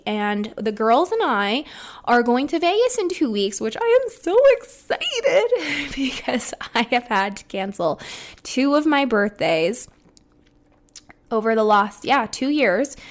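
A young woman hosting a solo podcast, talking casually in an upbeat, rambling way with a slightly congested voice. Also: on the high side. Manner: chatty and upbeat, rambling with restarts. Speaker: podcast host.

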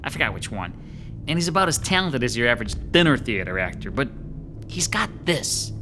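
A man's voice: medium pitch, big pitch swings, a little fast, over music. An actor playing a slangy, grumbling, middle-aged male New York lackey in an audio horror drama. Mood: griping.

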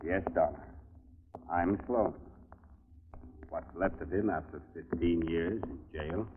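A man's voice: sits low, slow, phone-quality sound. A man acting in a 1940s radio drama, playing a wronged ex-convict with controlled menace, bent on revenge. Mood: bitter, vengeful. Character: vengeful wronged ex-convict posing as cemetery watchman. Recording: scratchy, band-limited 1940s radio recording.

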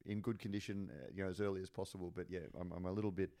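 Male podcast host, low and throaty, casual, stop-start delivery. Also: little pitch movement, warm tone. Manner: halting, repeats words and fills with "uh". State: hoarse. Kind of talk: casual chat.

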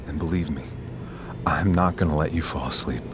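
A man's voice: extremely low pitch, fairly quick, noisy background. A man acting as a casual, reassuring survivor in an audio drama, coaxing a companion, with music and vehicle sounds behind. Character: upbeat, reassuring man keeping exhausted companion awake.

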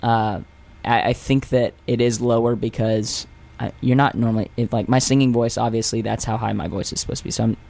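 A young male singer rambles through his answers on a radio show, leaning on fillers and restarts and poking fun at himself. His speaking voice sits at an ordinary middle pitch for a man. He is picked up in a studio. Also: fairly even intonation, fairly quick, vocal fry, hardly any pauses, a little mellow. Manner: rambling and self-deprecating, many fillers and restarts. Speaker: guest singer. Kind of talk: casual radio interview.

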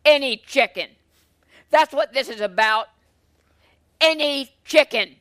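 A woman's voice: sits high, takes it slowly, a bit bright, pitched above the performer's own voice. A woman voicing Avery, a defensive, resentful sister who snaps accusations. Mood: accusing.

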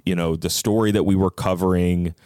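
A man's voice: sits low, steady tone, fairly quick, dark tone, smooth, no echo, studio quality. A man talking casually and thoughtfully as a podcast guest, explaining his points in a conversational way. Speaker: podcast host appearing as a guest. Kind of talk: casual conversational book discussion.

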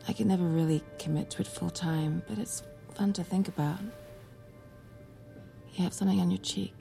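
Creaky, flirtatious, self-assured woman. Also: fairly low pitch, steady tone, mellow, soft background music. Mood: seductive, teasing. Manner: teasing, deliberate. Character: bold, flirtatious, self-assured female colleague. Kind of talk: naturalistic audio drama acting.

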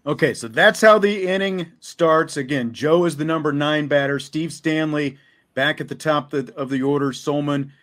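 A man's voice: fairly high pitch, normal speed, fairly bright tone. A man hosting a sports talk show, interviewing conversationally and recapping games, rambling a little and repeating words mid-phrase.

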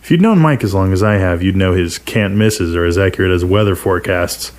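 A man's voice: deep voice, brisk. A man reading a first-person short story aloud as a scripted narration.

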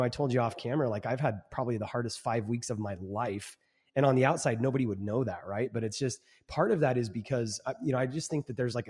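A man in his forties talks as a podcast guest, earnest and reflective, restarting his sentences with repeated words. His pitch is ordinary for a man. A long reverb trails behind his voice. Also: a little mellow, breathy edge. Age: 40s. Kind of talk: casual interview chat.

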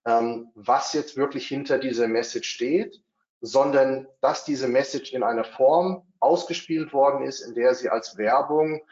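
A man's voice: mid-range voice, a little slow, darkish tone. A bilingual man giving a webinar talk, hesitant, with many fillers and restarts. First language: bilingual, not only German. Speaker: webinar speaker on developer marketing. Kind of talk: webinar presentation. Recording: roomy, dull lo-fi webinar audio.

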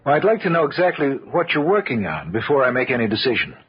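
An actor playing a young scientist who narrates and speaks politely and earnestly in an old-time radio drama. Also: male voice, mid-range voice, very animated, quick pace, slightly rough. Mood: polite, curious. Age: young adult. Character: earnest, deferential young male scientist narrating his story. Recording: muffled vintage radio recording, crowd noise.

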